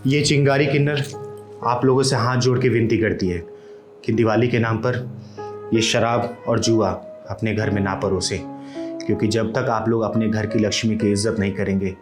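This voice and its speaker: A man's voice: fairly low pitch, fairly even intonation, moderate pace, close to an everyday voice. A man playing a fierce, righteous transgender woman who scolds and preaches in a melodramatic moral-story drama. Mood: solemn pleading. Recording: roomy indoor sound under loud music.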